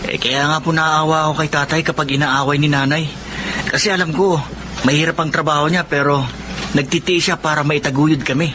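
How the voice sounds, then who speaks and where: A man's voice: fairly high pitch, fairly even intonation, brisk, background noise, close to an everyday voice. An actor playing a dutiful, respectful teenage boy with a polite, earnest manner in a radio drama. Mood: earnest, sympathetic. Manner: polite, deferential. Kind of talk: Filipino radio drama acting.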